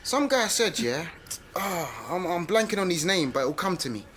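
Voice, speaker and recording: A man's voice: sits high, sing-song, normal speed, a bit bright, slight background noise. A man playing a cheeky, slangy, argumentative young London son in a radio drama. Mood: wheedling, cocky. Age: young adult.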